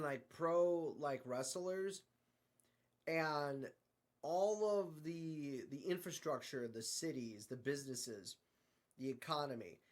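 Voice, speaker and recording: A man telling a story in a casual, improvised way, haltingly and often searching for his words. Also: a little high.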